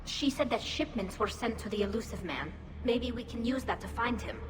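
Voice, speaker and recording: A woman's voice: a little high, moderate pace, roomy sound, background noise, lightly acted. A woman playing an observant, concerned squadmate with an attentive, questioning manner in dramatic game dialogue. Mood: thoughtful. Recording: game music behind the voice.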